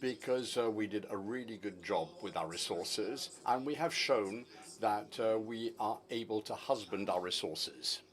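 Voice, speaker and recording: A man interpreting a formal finance report into English, measured and formal with frequent hesitations. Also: lowish voice.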